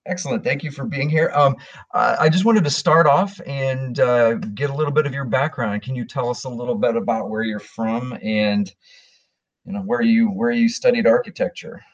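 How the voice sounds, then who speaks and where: A man hosting an interview podcast, asking questions in a friendly, halting way with frequent fillers. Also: medium pitch, lo-fi sound. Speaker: podcast host.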